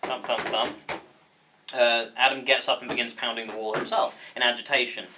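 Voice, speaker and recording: A man's voice: medium pitch, flat delivery, a little fast, background noise. A man informally reading out stage directions at a table read, with asides to the actors.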